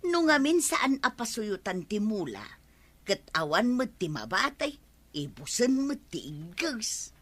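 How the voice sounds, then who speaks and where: A woman's voice: mid-range voice, sing-song, measured pace. A woman playing a chatty, fussing village housewife with an Ilocano accent in a radio comedy drama.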